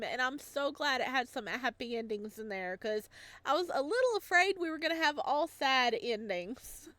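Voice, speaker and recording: Expressive higher-voiced woman chatting over a game. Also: dry recording. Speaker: gaming channel host. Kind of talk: casual playthrough commentary, reading visual novel aloud.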